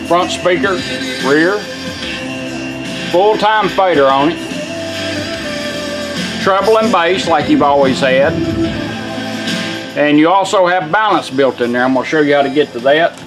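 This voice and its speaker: Man, slightly high voice, informal product demo, casual folksy explaining, music in the background. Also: a little slow, pauses often, low-quality recording. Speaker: radio restorer presenting a product. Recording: music playing behind the voice. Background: music from the demonstrated car radio.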